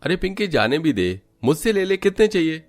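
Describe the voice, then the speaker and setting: A woman voicing a mild, easygoing young husband in a cartoon, in a low, put-on man's voice. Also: very animated, moderate pace, a little mellow. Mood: placating.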